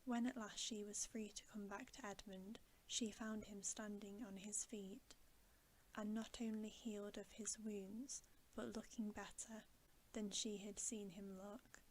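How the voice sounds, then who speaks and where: A woman reads a bedtime story in a soft, breathy voice that often drops into a whisper. Her delivery is hushed and soothing, at a very slow pace and an average pitch. There is faint noise behind her ASMR reading. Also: little pitch movement, low-quality recording. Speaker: ASMR host reading aloud.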